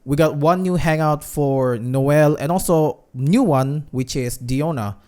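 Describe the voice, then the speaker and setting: A man's voice: on the high side, takes it slowly, warm tone. A man giving casual gameplay commentary, chatting informally to his viewers. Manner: chatty, addresses viewers as guys. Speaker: gaming streamer. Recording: game music behind the voice.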